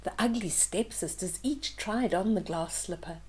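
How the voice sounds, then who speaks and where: An older woman reading a fairytale aloud to children in an expressive way, with simple explaining asides to young listeners. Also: medium pitch, normal speed, slight background noise. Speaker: children's storyteller, host.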